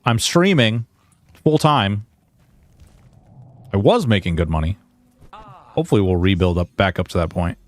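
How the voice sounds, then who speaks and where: A man in his early forties chatting casually and haltingly to livestream viewers while gaming, informal and self-deprecating, with some mild swearing. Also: on the low side. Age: early 40s. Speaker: game livestreamer.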